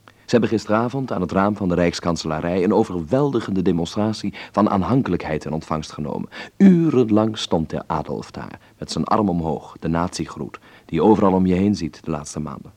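A man's voice: sits low, expressive, a little fast, darkish tone. A man playing a wry, uneasy observer reading his letter aloud in an intimate, reflective radio drama monologue. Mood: wryly ironic. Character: male letter writer in 1933 Berlin, wry, uneasy observer.

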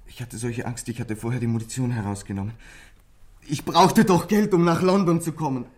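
An actor playing a troubled teenage boy in a German radio crime drama, bitter and frightened, with a husky, breathy voice and an intense, halting, confessional delivery. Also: mid-range voice, darkish tone, natural voice. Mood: anxious.

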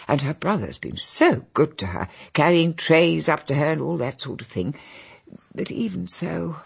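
An actress playing a genteel, anxious, tactful old English grandmother in a radio drama, with a husky, breathy voice. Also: low voice, lively intonation, normal speed, full character voice. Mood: worried, reflective. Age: older.

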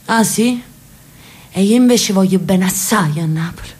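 An actress playing an elderly sister from Naples in a radio drama, telling a street story with lively, affectionate chatter. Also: female voice, mid-range voice, a little slow, natural voice. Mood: storytelling. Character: elderly Neapolitan sister, talkative, loves her city.

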